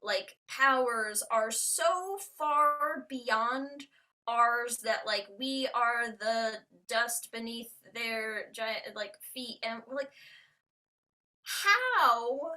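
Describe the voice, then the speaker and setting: Bright, high-voiced woman hosting a podcast. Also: drawn-out words. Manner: animated, joking, frequent use of "like". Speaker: podcast host. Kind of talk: casual chat.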